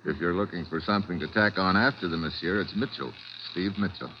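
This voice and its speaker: An actor playing a brash, wisecracking American adventurer in a 1940s radio drama, with breezy, slangy, self-assured delivery and an American accent. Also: male voice, low voice, fast, slight background noise. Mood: flirtatious. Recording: dull vintage radio recording, music behind.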